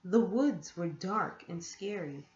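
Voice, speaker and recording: A woman reading a children's story aloud with expressive, deliberate storytelling. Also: fairly low pitch, a little hiss or hum. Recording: echoey room, dull muffled sound.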